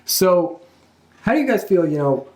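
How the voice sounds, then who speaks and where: A man's voice: high-pitched, big pitch swings, normal speed, a little mellow, roomy sound, rough recording. A man hosting a casual wrestling podcast, chatting with co-hosts in conversational style. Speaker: podcast host.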